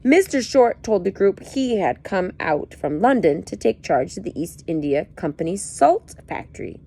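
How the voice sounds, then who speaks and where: A woman's voice: mid-range voice, very animated, moderate pace, vocal fry, dark tone, slightly rough. A woman reading a book aloud, breaking off for playful, joking asides. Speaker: reader.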